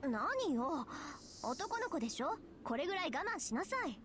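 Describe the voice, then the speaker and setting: A woman's voice: high voice, very animated, quick pace, a bit bright, slight background noise. A woman voicing a proud, bossy teenage schoolgirl in a visual novel, brusque and easily flustered as she scolds. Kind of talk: voiced visual novel dialogue.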